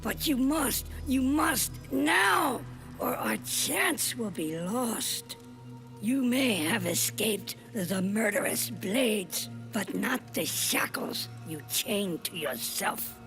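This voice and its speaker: A woman playing a solemn, commanding female spirit guide in an animated game cinematic, speaking gravely with portentous, emphatic pauses. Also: a little high, soft background music, exaggerated voice. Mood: grave warning.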